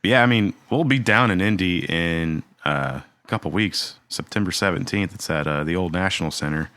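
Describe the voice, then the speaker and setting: Podcast co-host, male, thirties: low and bright, rambling and laid-back, dry close recording. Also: moderate pace, dry recording. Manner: relaxed, rambling, many fillers and restarts. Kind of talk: casual chat.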